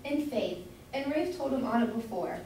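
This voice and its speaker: A woman's voice: a little high, expressive, moderate pace, mellow. A young woman acting a chatty, doting, meddling citizen's wife who gushes and interjects in a staged reading of a Jacobean comedy. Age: young adult. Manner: gushing, interjecting. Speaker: student actor. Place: indoor room, far from the mic. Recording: distant voice, very echoey room, faint noise.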